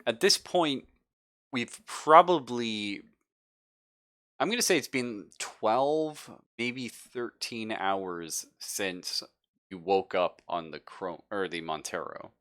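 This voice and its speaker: Low, bright-voiced male game master. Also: slow. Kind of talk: casual tabletop game narration. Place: live online game session.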